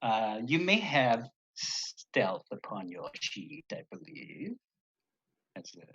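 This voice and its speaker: A man's voice: medium pitch, big pitch swings, slow, fairly bright tone, muffled recording. A man narrating as dungeon master in a tabletop game stream, rambling with many fillers and chuckles. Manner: rambling, hesitant, frequent fillers and laughter.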